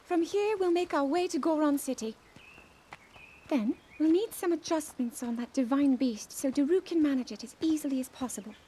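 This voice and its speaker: An actress playing an earnest young princess, speaking in a formal, measured way. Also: female voice, sits high, mellow, lightly acted. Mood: thoughtful. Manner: formal, measured ceremonial speech. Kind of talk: video game cutscene acting.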